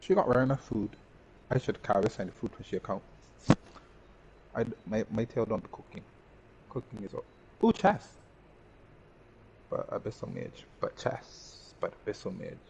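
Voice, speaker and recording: Low male voice, casual gaming commentary, talking to himself, dull lo-fi mic, faint noise. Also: very animated, normal speed, vocal fry, long pauses, darkish tone. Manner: talks to himself and the game characters. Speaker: gaming streamer. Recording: band-limited mic with faint background noise.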